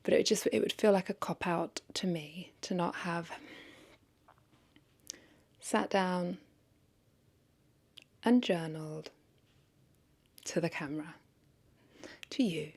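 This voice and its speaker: A woman with a lowish voice and plenty of vocal fry talks to the camera in a casual, confessional way. She is hesitant and reflective, circling back over her own phrases as she questions herself. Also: slow, pauses often, darkish tone. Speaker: vlogger.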